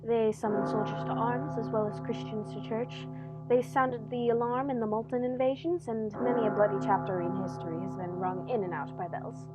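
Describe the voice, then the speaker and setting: Prominent music plays behind this radio play voice. A woman plays a cool, learned gentleman detective, fairly high in pitch, who lectures drily with an air of superiority. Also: steady tone, normal speed, dull audio, natural voice. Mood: dryly learned. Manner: dry, lecturing.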